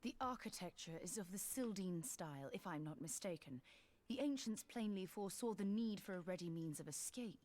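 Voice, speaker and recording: An actress playing a composed young female cat-eared mage who speaks in a measured, formal way with dry wit, in a game cutscene. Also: lowish voice, animated, darkish tone, natural voice. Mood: cool. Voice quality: measured, formal and dryly witty.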